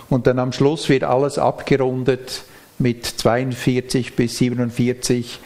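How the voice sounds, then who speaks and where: A man preaching a sermon, reading and explaining scripture in a measured, explanatory way. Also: medium pitch, a little mellow.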